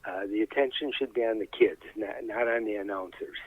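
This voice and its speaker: A man's voice: lowish voice, brisk, no echo. An older man talking casually in an interview, in a plain-spoken, folksy, hesitant way, on slightly dull audio. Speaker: high school sports announcer being interviewed.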